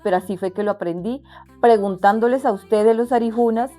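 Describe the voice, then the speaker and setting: A woman's voice: fairly high pitch, brisk, warm tone, faint music behind. A young Wayuu woman from La Guajira, Colombia, giving an interview about her craft business. She speaks earnestly, with frequent restarts. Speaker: interviewee, artisan entrepreneur. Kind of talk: casual interview.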